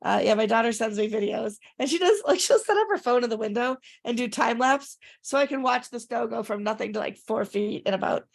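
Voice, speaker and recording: A woman co-hosting a casual chat, her voice raspy and congested from recent illness, talking in a rambling way with fillers. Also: fairly high pitch, lively intonation, brisk, few pauses. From Rochester area, upstate New York. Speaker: podcast co-host.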